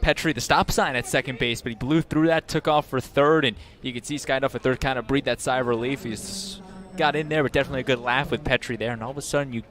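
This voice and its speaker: A man's voice: mid-range voice, steady tone, quick pace, a bit bright. A man giving baseball analysis as a color commentator on a game broadcast.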